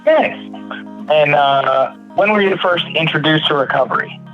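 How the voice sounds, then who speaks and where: Higher-pitched man, phone-like audio. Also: normal speed, faint music behind. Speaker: podcast host. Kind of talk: casual interviewing. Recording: thin phone-like narrow-band audio.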